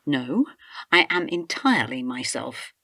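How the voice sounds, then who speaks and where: An actress playing a distrustful, fearful, distraught wife in a radio drama. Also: female voice, rumbling low, big pitch swings, a little slow, sharp tone, no echo, exaggerated voice. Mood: suspicious, tense.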